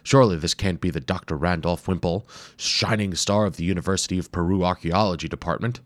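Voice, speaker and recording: A man's voice: low-pitched, fast, no echo, natural voice. A man doing an upbeat, teasing explorer. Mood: earnest. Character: eager, confident male explorer and scientist.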